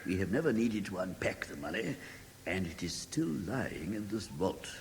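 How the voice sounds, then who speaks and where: Pompous British banker in an echoing hall. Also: male voice, sits low, very animated, normal speed. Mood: confiding. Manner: pompous, formal. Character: pompous, fussy male bank chairman, skeptical and self-important. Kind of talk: classic radio drama acting. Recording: reverberant vault sound with faint noise.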